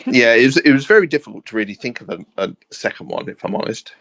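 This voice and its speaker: Hesitant man, mid-pitched, dry close sound. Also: very animated, quick pace, creaky, fairly bright tone, no echo. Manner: hesitant, self-deprecating. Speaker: co-host of a fan discussion show. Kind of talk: casual geeky group chat.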